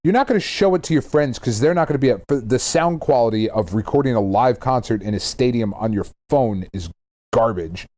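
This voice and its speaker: A man in middle age with a very animated voice that moves widely in pitch. He talks like a podcast co-host in loose, casual chat, emphatic and irreverent, with plenty of swearing. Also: fairly quick.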